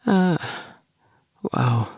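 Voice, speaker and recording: A man voicing a guarded, thoughtful young spaceman in a storybook, speaking haltingly and carefully. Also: fairly high pitch, expressive, a little mellow, breathy edge, dry recording, studio quality, voice pushed up, full character voice. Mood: guarded, sad.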